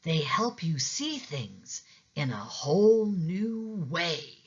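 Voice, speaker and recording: A woman voicing a pleased, helpful toad in a children's storybook, slowly and deliberately. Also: low voice, very animated, slightly rough, muffled recording, heavily acted. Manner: slow, deliberate. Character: toad with a grumpy name, now pleased, helpful.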